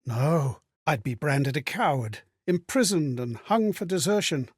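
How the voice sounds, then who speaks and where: A courteous, bewildered spirit from a fantasy game. The voice sits fairly high and slightly husky in a dry recording. It speaks in a refined, contemplative, theatrical manner, like someone recounting memories. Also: male voice, animated, unhurried, no room sound. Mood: fearful, desolate. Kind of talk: dramatic video game voice acting, storytelling.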